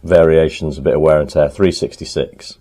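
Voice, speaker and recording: A man talking casually to the camera in his home workshop, thinking aloud as he works, a little hoarse. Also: rumbling low, fairly even intonation, normal speed, warm tone. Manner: chatty, thinks aloud while working, frequent ums. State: slightly hoarse, clearing throat. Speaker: motorcycle restorer and channel host.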